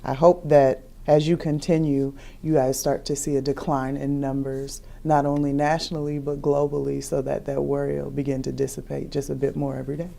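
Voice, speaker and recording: A woman's voice: extremely low pitch, little pitch movement, fairly quick, vocal fry, hardly any pauses, warm tone. A woman hosting an interview, asking questions and responding to the guest. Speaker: host, interviewer.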